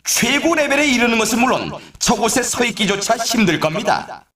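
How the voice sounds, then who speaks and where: An actor playing an excitable, theatrical male announcer at an extreme snowboarding event in a video game, delivering scripted hype with showy exclamations. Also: very high-pitched, a little fast, reverberant, a crowd in the background. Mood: excited, celebratory.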